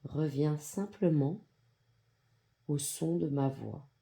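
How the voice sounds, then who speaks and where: An androgynous voice guides a yoga nidra practice. The tone is extremely mellow and relaxed, and the delivery is slow and calm. Short, soothing instructions come in measured phrases, separated by generous pauses. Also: mid-range voice, creaky. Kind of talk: guided meditation, soft instructional narration.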